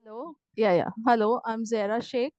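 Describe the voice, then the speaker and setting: A woman's voice: on the high side, steady tone, normal speed, a little mellow, no room sound, muffled recording. Polite woman, dry sound. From India. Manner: polite, formal. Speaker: English teacher.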